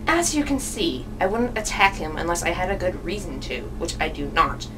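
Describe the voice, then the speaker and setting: Woman acting a reserved female witness, low pitch, formal, proud, noisy distant mic. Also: animated, natural voice. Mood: defensive, composed. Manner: formal, measured. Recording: distant microphone, noisy room.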